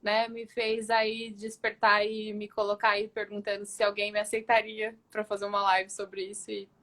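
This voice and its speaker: A woman's voice: mid-range voice, completely flat delivery, moderate pace, a bit bright. A woman hosting a casual live interview, effusive and enthusiastic as she chats with her guest. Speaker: live stream host.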